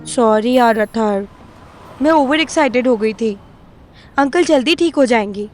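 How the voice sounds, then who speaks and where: A woman's voice: fairly high pitch, unhurried, darkish tone, soft background music, lightly acted. A woman playing a feisty, impulsive young woman in an audio drama, sassy and sarcastic, mixing Hindi and English. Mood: apologetic.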